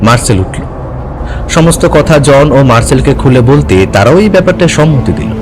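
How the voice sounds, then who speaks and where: A man's voice: mid-range voice, animated, moderate pace. A man narrating a scripted adventure story dramatically. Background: music.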